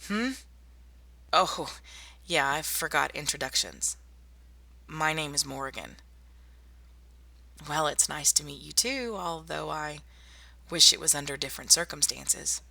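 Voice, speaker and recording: Tough, wry young female zombie-apocalypse survivor, played by a woman, intimate ASMR roleplay, creaky delivery, close dry sound. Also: deep voice, animated, a little slow, pauses often, no room sound, heavily acted. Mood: wry, friendly. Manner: soft-spoken, intimate, addressing one listener. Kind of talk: ASMR roleplay audio drama.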